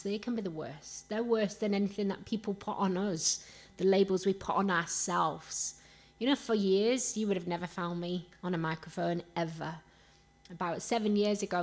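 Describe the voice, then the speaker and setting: A woman from Rotherham with a Yorkshire accent preaches an earnest sermon with personal stories, rhetorical questions and emphatic repetition. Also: mid-range voice. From Rotherham, Yorkshire, England.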